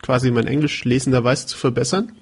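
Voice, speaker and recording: Podcast guy rambling with lots of uhs, husky and kinda gravelly. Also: medium pitch, fast talker. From southwest Germany. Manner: hesitant, many filler sounds, chuckling. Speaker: podcast co-host. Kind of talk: casual chat.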